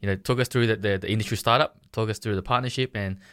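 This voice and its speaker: Man with a low voice, asking questions. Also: steady tone, a bit bright, clear, resonant tone, no room sound, clean studio sound. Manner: halting, restarts questions. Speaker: podcast host. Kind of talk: interview questions.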